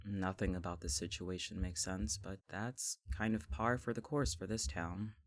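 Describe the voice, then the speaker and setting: An actor playing a bitter, stubborn friend in an audio drama, speaking in a blunt, sardonic and natural conversational way. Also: male voice, sits low, fairly even intonation, moderate pace, dry recording, close to an everyday voice. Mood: puzzled, sardonic.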